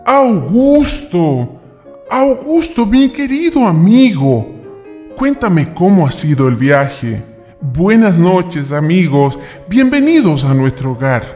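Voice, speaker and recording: An actor playing a hearty, genial gentleman in a radio drama. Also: high-pitched, big pitch swings, slow, faint music behind, exaggerated voice. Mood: welcoming.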